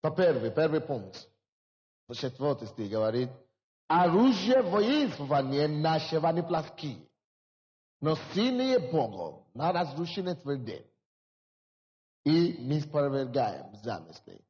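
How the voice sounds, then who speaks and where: High-voiced preacher in a reverberant hall. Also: male voice, very animated, takes it slowly, a little mellow. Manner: preaching with rising emphasis and repetition. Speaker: pastor. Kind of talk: sermon. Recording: dull lo-fi hall recording.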